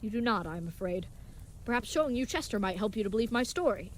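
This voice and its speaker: Woman doing a brave clueless young knight type, super formal and earnest, talks fast, audio drama. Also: medium pitch, expressive, slight background noise, lightly acted, rough recording. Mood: earnest, chatty. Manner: formal, contraction-free, chivalrous, grandly earnest speech. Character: earnest, brave, naive young leatherworker playing knight, cheerfully oblivious. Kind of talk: scripted audio drama acting.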